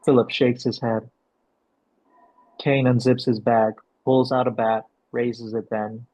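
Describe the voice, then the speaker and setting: A man working as a director, explaining and reading out a script, with a gravelly, husky voice. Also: medium pitch, little pitch movement, takes it slowly. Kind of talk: explanatory coaching and script narration. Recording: dull, lo-fi sound.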